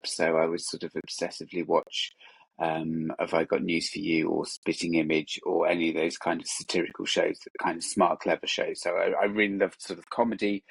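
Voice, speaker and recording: A deep, husky man's voice with a dry, close sound. He answers interview questions slowly and loosely, leaning on "sort of" and "kind of" as he goes along over a video call. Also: no echo, rough recording. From England. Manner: hesitant, many fillers and "sort of". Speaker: guest author and illustrator.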